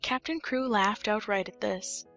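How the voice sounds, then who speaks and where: A woman's voice: medium pitch, measured pace, a bit bright, soft background music. A woman reading scripted storybook narration as an audiobook narrator.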